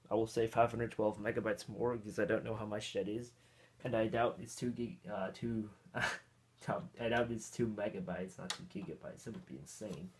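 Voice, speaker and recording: A man from Austria chatting casually as he tinkers with an old computer, rambling to himself and chuckling now and then. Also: medium pitch. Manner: rambling, self-talk while tinkering, chuckles often. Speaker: vintage computer hobbyist. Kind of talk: casual hands-on tech vlog.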